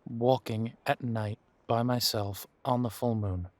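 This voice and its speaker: A man playing a bitter, wry young werewolf in an audio roleplay, talking haltingly and sardonically as if in intimate one-sided conversation. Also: medium pitch, fairly even intonation, a little mellow, no echo, close to an everyday voice. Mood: rueful, bitter. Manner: halting, sardonic, conversational with sighs and repeated words.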